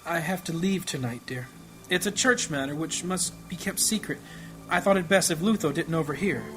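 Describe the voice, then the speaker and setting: A man acting a gentle, troubled priest and family man in a fantasy audio drama, earnest, measured and devout. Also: a little high, natural voice. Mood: troubled, secretive. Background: music.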